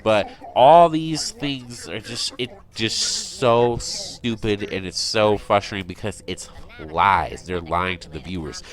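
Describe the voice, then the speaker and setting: A man's voice: on the low side, slow, few pauses. A man delivering an opinionated fan rant as an online commentator, insistent, repetitive and exasperated in manner.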